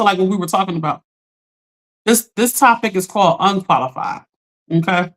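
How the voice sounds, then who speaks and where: A woman hosting a casual livestream, talking straight to her chat while she gets over a lost voice. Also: low-quality recording. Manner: chatty, talks straight to chat, animated pitch jumps. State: recovering from lost voice. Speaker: livestream host.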